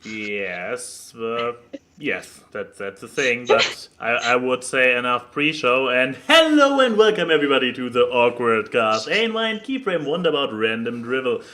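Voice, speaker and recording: A man hosting a casual podcast chat, speaking haltingly and often stammering and repeating words. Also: mid-range voice, sing-song, sharp tone, slight background noise. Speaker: podcast host.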